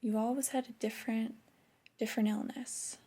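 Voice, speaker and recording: Breathy, soft-spoken flirty female boss, acted by a woman. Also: on the high side, flat delivery. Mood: reproachful, skeptical. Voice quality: breathy, often whispery. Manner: slow, deliberate, intimate reprimanding. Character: flirty, disappointed female store boss scolding an employee. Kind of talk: soft-spoken ASMR roleplay.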